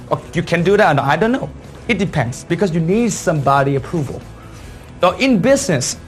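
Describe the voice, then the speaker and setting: A man from Thailand with a Thai accent speaks as a business leader giving an emphatic, repetitive motivational presentation full of rhetorical questions. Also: high-pitched. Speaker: network marketing leader and speaker. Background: background music.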